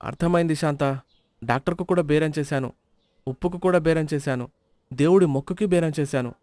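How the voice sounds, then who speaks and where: A man's voice: on the high side, a little fast, darkish tone, no room sound, lightly acted. A man voicing a stingy middle-aged village man in a storybook tale, whiny and always counting money. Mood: rueful. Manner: whiny, counts every rupee. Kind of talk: storybook voice acting.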